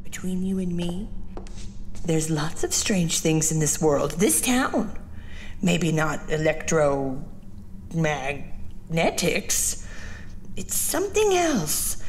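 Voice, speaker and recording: A woman's voice: sits low, big pitch swings, slow pace, pauses often, darkish tone, slight background noise, full character voice. A woman playing a gossipy small-town cleaning lady. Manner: confiding, gossipy, slips into whispers. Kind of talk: audio horror drama acting.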